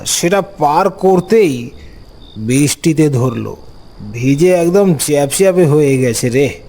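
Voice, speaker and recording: A man narrating a scripted first-person story as an uncle, with unhurried, expressive dramatic delivery. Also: fairly high pitch.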